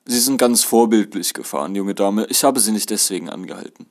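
A man's voice: fairly low pitch, fairly quick, natural voice. Man playing a casual, helpful policeman. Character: helpful, blunt male traffic policeman, casually authoritative.

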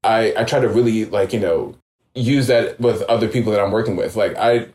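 A man in his thirties from New York, talking casually as an interview guest. His voice sits low, and his words ramble loosely, with plenty of "like" and "you know." The room gives his voice a noticeable echo. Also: a little fast. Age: 30s. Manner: relaxed, filler-heavy, reflective. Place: home room.

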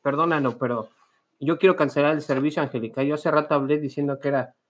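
A man's voice: on the high side, steady tone, fast talker, close to an everyday voice. A man improvising a fed-up, stubborn, nagging partner on a prank phone call, scolding and insisting. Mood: insistent. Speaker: podcast host making a prank call.

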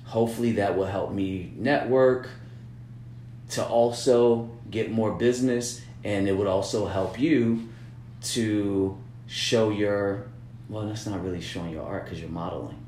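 A man with a lowish voice talks casually and at length, stumbling over repeated words and circling back to his points. The room around him is echoey, with a faint hum and background noise. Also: unhurried, darkish tone. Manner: rambling, repeats words, frequent "you know what I'm saying". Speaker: business-minded artist partner. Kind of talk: casual planning chat.

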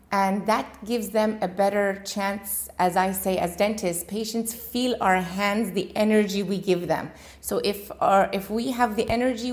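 A woman who was born in Iran and raised in the United States, a dentist and clinic owner, giving calm and earnest interview answers. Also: mid-range voice. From Iran, raised in Washington state.

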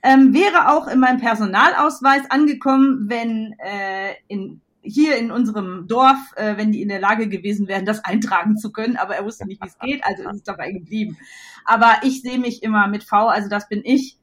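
A woman in her forties from western Germany, chatting as a podcast guest in a bubbly way, laughing often and filling pauses with "ähm". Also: medium pitch. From North Rhine-Westphalia, Germany. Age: 40s. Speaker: podcast guest, illustrator and start-up founder. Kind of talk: casual interview chat.

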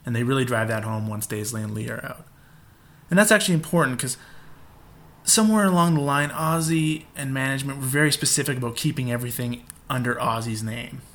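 Male podcast host, casual monologue, irreverent, swears freely, laughs mid-sentence, average pitch. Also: fairly bright tone. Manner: irreverent, profane, laughing asides.

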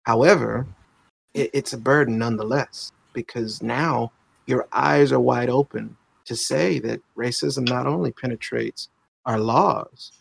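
A man in his late thirties speaks thoughtfully in a relaxed conversation, often restarting his sentences and leaning on filler phrases. His voice is mid-pitched with a steady gravelly fry. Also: a little slow, no echo, poor audio. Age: 30s. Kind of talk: reflective conversation.

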